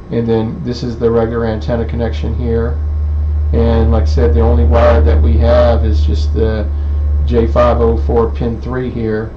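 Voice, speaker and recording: Halting man demonstrating electronics, dull noisy recording. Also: mid-range voice. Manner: halting, hesitant, thinking aloud. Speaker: presenter of an electronics how-to video. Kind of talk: unscripted technical demonstration. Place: indoor electronics workbench. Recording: dull lo-fi audio with clear background noise.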